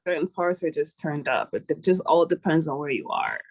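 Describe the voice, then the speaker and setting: Low-pitched, lively woman from Jamaica. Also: slightly rough, no echo. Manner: agreeable, plain-spoken, quick affirmations. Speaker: podcast guest. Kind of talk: casual conversation.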